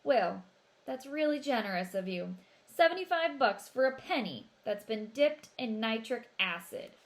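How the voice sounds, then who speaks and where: A woman's voice: on the high side, animated, unhurried. A woman reading the part of a bold, streetwise teenage brother, blunt and assertive as he haggles in a storybook. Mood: confrontational.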